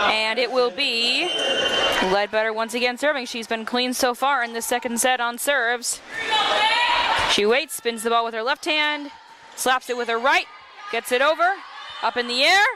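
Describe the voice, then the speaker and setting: A woman giving live play-by-play commentary as a sports announcer. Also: fairly high pitch. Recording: clear gymnasium and ballpark ambient noise.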